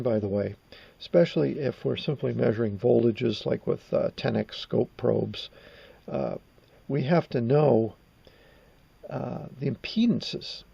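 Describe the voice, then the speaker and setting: A man in his sixties presenting an informal technical explanation in a halting way, with frequent pauses, in a small room at home. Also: medium pitch, vocal fry, warm tone, breathy edge, distant mic, highs cut off. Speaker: presenter of a vintage radio electronics channel.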